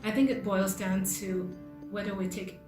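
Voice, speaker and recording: Woman, 50s, mid-pitched, earnest and considered, roomy sound with music under her. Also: fairly even intonation, normal speed. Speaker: dentist, trial volunteer. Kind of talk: recorded personal statement.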